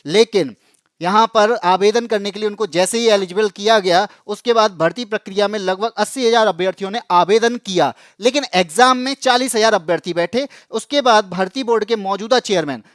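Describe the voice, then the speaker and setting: A man's voice: sits high, fairly quick, fairly bright tone, no room sound, studio quality. A man presenting an explanatory news update to students, emphatic and repeating phrases for stress. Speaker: exam coaching channel presenter.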